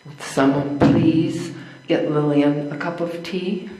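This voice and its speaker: A woman's voice: sits low, steady tone, slow, dark tone, a little hiss or hum. An older woman in amateur readers theater playing a kind, practical friend, speaking reassuringly. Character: kind, steady female friend soothing someone. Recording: echoey room.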